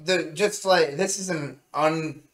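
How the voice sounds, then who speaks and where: A man with a slightly high voice talks in a roomy, echoey space. He co-hosts a review show and chats casually, rambling along in halting bursts as he retells things. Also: fairly bright tone. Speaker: co-host of an anime review show.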